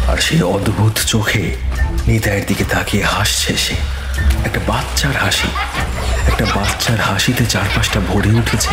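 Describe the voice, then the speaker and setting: Male horror narrator, very low voice, measured and suspenseful, roomy echo. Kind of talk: scripted horror story narration. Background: eerie background music.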